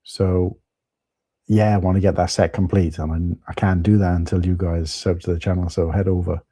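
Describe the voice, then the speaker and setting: A middle-aged man, husky from tiredness, chatting casually and rambling through a live stream with many fillers. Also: deep voice, flat delivery, normal speed, warm tone, no echo.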